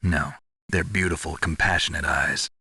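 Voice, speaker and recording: An actor playing a gruff, world-weary special forces soldier in a video game, terse and deadpan with a gravelly, husky voice. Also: male voice, rumbling low, normal speed, heavily acted. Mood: dry, smooth. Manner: terse, deadpan, hard-bitten. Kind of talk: dramatic video game voice acting.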